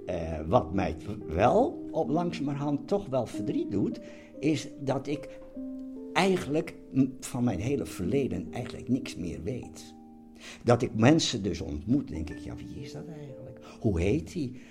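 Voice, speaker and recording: An elderly man in his eighties, talking reflectively in an interview, halting and often repeating words as he searches for them. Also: medium pitch, sing-song. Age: 80s. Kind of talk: personal interview, reflective talk. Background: music.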